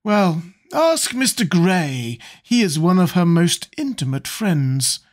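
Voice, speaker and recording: A man voicing a witty, cynical aristocratic gentleman with a languid drawl. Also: high voice, sing-song, slow pace, pitched above the performer's own voice, full character voice.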